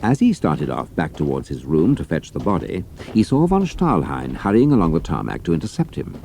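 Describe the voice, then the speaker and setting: A man's voice: rumbling low, huge pitch swings, fairly quick, creaky, dark tone, slight background noise. A British man reading scripted narration for an adventure story.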